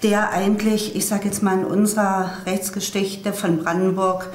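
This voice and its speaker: A woman's voice: medium pitch, little pitch movement, moderate pace, echoey room. A woman in her fifties, a public prosecutor, giving a news interview statement in an office. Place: office with file shelves.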